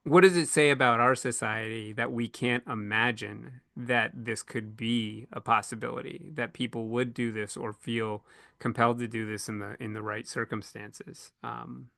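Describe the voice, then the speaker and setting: A man co-hosting a podcast panel, thinking aloud in a halting way and often repeating words as he goes. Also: mid-range voice, fairly even intonation, vocal fry, a bit bright, dry recording, clean studio sound.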